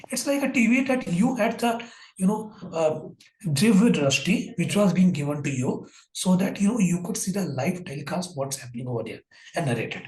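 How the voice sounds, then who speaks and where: A middle-aged man with an Indian English accent speaks in a husky, fairly high voice. He retells an old story in a loose, rambling way, full of "you know" fillers. His voice comes over a video call from an echoey room. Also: expressive, moderate pace, a little mellow. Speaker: guest.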